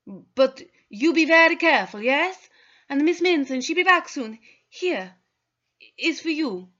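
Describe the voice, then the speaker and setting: A woman's voice: high-pitched, expressive, unhurried, fairly bright tone, voice pushed up. Woman doing a worried maid in broken English. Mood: caring. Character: housemaid speaking broken English, anxious and kind. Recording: dull lo-fi recording.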